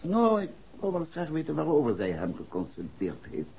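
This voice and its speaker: An actor playing a shrewd, urbane and authoritative amateur detective in a 1960s radio drama. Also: male voice, fairly high pitch, huge pitch swings, fairly quick, vocal fry, mellow, full character voice. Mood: thoughtful, brisk. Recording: dull, faintly noisy 1960s radio recording.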